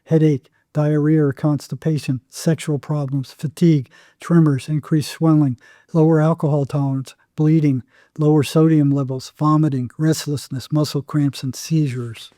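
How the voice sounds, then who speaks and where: A man's voice: a little high, little pitch movement, normal speed, dark tone, no room sound, clean studio sound. A man speaking as an interview guest, telling anecdotes and explaining with emphatic, repeated phrasing. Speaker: psychotherapist, podcast guest.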